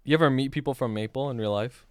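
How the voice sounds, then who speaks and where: Young man, smooth clear voice, dry close sound, blunt, sweary, slangy casual streamer chat. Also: mid-range voice, fast, a little mellow, dry recording.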